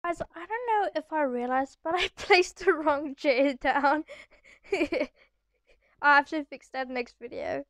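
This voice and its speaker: A woman's voice: high voice, slow pace, a bit bright, dry recording, clean studio sound. A young girl doing a casual, chatty and rambling gaming voiceover as the host of the video. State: sore throat. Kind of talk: casual game commentary voiceover. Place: echoey room at home, voice-over.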